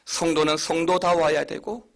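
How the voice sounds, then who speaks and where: Middle-aged man, Busan accent, pastor in the pulpit; high, bright voice that swings widely on emphasis. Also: level tone, normal speed. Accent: Busan Gyeongsang. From Busan, South Korea. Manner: emphatic preaching with sudden high, loud stresses. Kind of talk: sermon.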